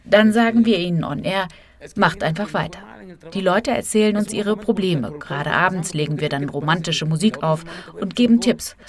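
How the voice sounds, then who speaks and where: A woman's voice: fairly low pitch, normal speed. A woman reading a scripted radio feature as a correspondent, narrating and voicing translations of quotes.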